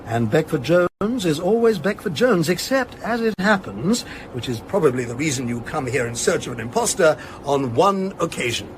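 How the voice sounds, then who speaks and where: A dryly pedantic male academic explains things in a measured, lecturing manner. His voice sits fairly high for a man, with clear noise behind it, as in a location-style radio drama. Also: big pitch swings. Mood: precise, confident.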